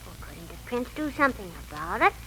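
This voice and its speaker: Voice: mid-range voice, big pitch swings, fast talker, fairly bright tone. A performer playing an eager, inquisitive young child listening to a story in a 1940s radio drama. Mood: eager, curious. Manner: eager, inquisitive, plain-spoken. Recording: faintly noisy old radio recording.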